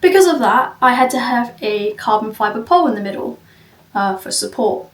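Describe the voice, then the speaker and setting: A woman's voice: a little high, expressive, normal speed. A woman giving an informal walkthrough of something she built, rambling in a candid, self-deprecating way. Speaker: maker presenting a cosplay prop she built. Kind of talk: informal video walkthrough and review of a build. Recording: roomy echo, far from the mic.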